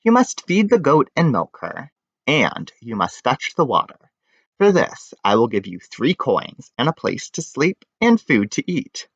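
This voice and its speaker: A man's voice: mid-range voice, very animated, a little slow, fairly bright tone, husky, no room sound, lo-fi sound, heavily acted. Man putting on a stern old village witch grandmother. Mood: stern, instructing. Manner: instructing firmly, item by item.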